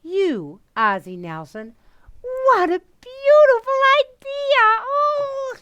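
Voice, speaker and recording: A woman's voice: very high-pitched, very animated, extremely slow, fairly bright tone, dull audio, full character voice. A woman playing a gushing, dreamy young girl in an old-time radio comedy, earnestly romantic and emphatic. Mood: swooning.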